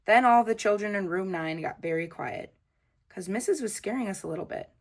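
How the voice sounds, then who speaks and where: A woman reads a children's story aloud in a mid-range voice. She is expressive and uses simple, childlike phrasing, as a storyteller does for young listeners. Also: medium pitch, a little fast. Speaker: children's book reader. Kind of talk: read-aloud storytelling of a children's book, in a child narrator's words.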